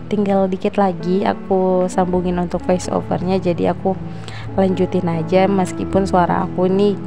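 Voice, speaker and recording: A young woman with a hoarse voice from a sore throat, chatting casually as she narrates a cooking vlog voice-over. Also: fairly low pitch, flat delivery, moderate pace. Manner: chatty, addresses viewers as "Bun". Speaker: vlogger. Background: background music.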